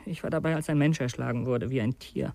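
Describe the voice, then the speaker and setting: An actor playing a guilt-ridden, frightened young man, confessing haltingly and with resignation in a radio crime drama. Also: medium pitch, creaky, darkish tone, no room sound, lightly acted. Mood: resigned, fearful.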